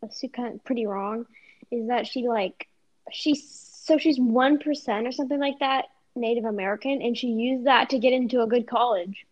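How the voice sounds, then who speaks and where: Teen girl podcasting w friends, kinda high voice, muffled lo-fi sound, lots of "like". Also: normal speed, no room sound. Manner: chatty, lots of "like" fillers. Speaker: podcast co-host. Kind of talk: casual chat.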